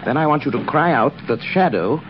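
An actor playing a suave, confident sleuth who gives crisp, authoritative instructions in 1930s radio style. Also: male voice, a little high, lively intonation, a little fast, lightly acted. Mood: cool, commanding. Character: suave, commanding male sleuth, coolly confident. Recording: muffled old radio, clear noise.

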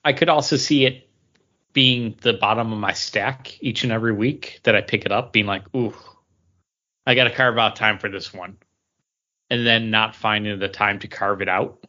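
A man's voice: fairly low pitch, fairly even intonation, moderate pace, bright, forceful tone. A man chatting casually as a panelist on a review podcast. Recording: dull, lo-fi audio.

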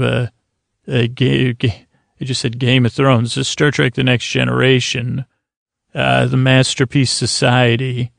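A man with a mid-pitched voice full of vocal fry hosts a sleep podcast. He wanders through halting, self-deprecating tangents in a soothing bedtime monologue. The sound is dry, with very little room to it. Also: no echo. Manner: deliberately lulling and soothing. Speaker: podcast host.

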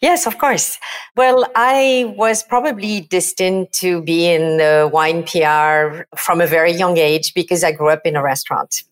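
A middle-aged woman from Provence with a French accent, telling her story as a guest on a podcast with lively enthusiasm. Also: lowish voice, unhurried. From France, Provence. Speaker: guest, PR agency president. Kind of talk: podcast interview.

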